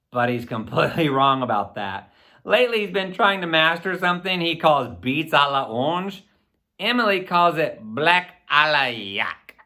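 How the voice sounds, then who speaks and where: A man's voice: sits high, bright, forceful tone. A middle-aged man, a school principal, speaking to children and reading aloud in an upbeat, emphatic, encouraging way. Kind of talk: enthusiastic address and children's book read-aloud.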